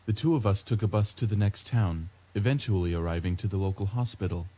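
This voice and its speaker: A man's voice: lowish voice, brisk. A man reading a visual novel's story aloud as scripted narration. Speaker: gameplay video host reading a visual novel. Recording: loud game music under the voice.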